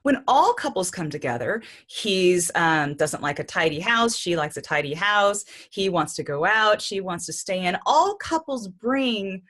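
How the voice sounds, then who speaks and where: A woman's voice: mid-range voice, animated, a little slow, hardly any pauses, a bit bright. Upbeat woman hosting an interview. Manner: enthusiastic, thinks aloud. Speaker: interview host.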